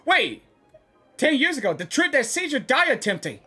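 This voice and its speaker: A woman reacting live to a video game story in an excitable, exclamatory way. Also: high-pitched, moderate pace, faint music behind.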